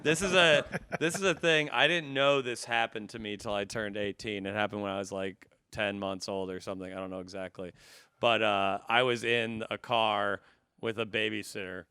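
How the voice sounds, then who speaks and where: Guy comedian chatting on a podcast, crisp bright voice, irreverent jokes. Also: fairly low pitch, steady tone, normal speed, no room sound, clean studio sound. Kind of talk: casual comedic storytelling.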